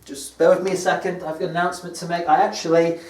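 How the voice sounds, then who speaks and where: A British man presenting an educational lesson in a deliberate, explanatory manner, like a teacher addressing language learners. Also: on the high side, level tone. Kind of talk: scripted educational presentation to camera. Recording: echoey room, distant microphone.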